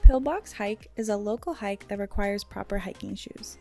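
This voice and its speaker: Female travel narrator, scripted guide read, warm low-brightness tone with gravelly fry, faint music behind. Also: normal speed.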